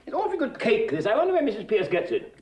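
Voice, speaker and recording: A demanding, impatient speech professor who drills every sound over and over. His voice sits high and swings widely, with clipped, insistent corrections. Classic film musical dialogue. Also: wildly swooping pitch, very fast. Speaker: phonetics professor.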